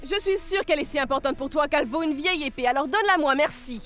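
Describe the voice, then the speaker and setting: A woman's voice: high-pitched, fast, a bit bright, faint music behind. A woman voicing a scheming, smug villainess with a haughty, mocking delivery in a French children's cartoon.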